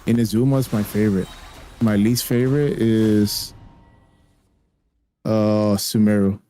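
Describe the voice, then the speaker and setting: A man's voice: fairly low pitch, little pitch movement, measured pace, dark tone. A young man, a native Spanish speaker, chatting casually as a gaming streamer in a blunt, slangy way and swearing freely. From raised by a Spanish-speaking family, living in the US.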